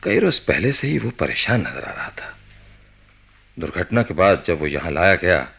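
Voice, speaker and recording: A senior hospital doctor in a radio play. His voice is very low and gravelly, with a husky grain. He talks deliberately and with authority, pausing to think as he goes. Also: very animated, a bit bright, full character voice. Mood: grave, authoritative. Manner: deliberate, authoritative, with thoughtful hesitations. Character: male senior hospital doctor, methodical, commanding, preoccupied. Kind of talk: radio drama acting.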